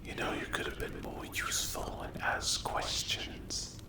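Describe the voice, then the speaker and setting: Menacing demon, played by a man, condescending, breathy whisper, very slow delivery, for dark ASMR roleplay. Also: echoey room, close to an everyday voice. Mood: cold, scornful. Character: menacing, condescending sleep paralysis demon toying with captive.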